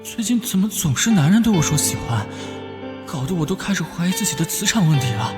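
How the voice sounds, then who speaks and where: A man playing an earnest young police detective in an animated drama, serious and brooding. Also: high voice, a little fast, over music. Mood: flustered.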